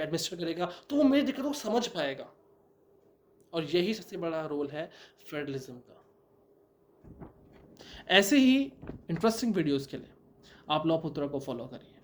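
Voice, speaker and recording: A young man giving a law lecture, asking rhetorical questions and answering them himself, with many English terms mixed in. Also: high voice. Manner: mixes English terms into Hindi. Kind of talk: explanatory lecture.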